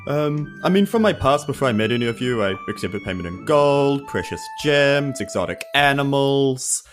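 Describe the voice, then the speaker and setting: A man's voice: medium pitch, animated, moderate pace, music in the background. A man with a New Zealand accent playing a tabletop role-playing game, bantering casually with dry humour. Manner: dry, joking.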